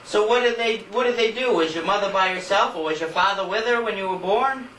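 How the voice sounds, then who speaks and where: A man chatting and gently teasing his grandmother during a family recording. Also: high voice, fairly quick. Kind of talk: casual family chat. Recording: echoey home video, distant mic, faint hiss.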